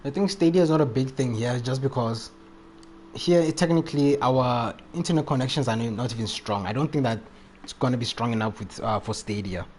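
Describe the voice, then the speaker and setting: A man livestreams a game in a medium-pitched voice. He talks casually in slangy, joking asides, close to the mic, with faint noise behind him. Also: brisk, darkish tone. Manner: jokey, slangy, often chuckling.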